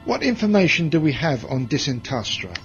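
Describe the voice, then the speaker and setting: A cold, menacing space commander in a science fiction audio drama. He is contemptuous and used to giving orders, and his pitch moves widely. The recording is slightly dull, with some music behind. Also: sing-song, brisk. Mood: brisk command. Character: menacing, cold, cruel male space commander with a weapon hand.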